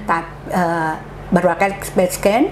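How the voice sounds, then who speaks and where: An older woman, a cancer survivor guest, telling her story in a casual, halting way, acting out what others said to her. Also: fairly low pitch, background noise. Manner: chatty, halting, lively quoted asides.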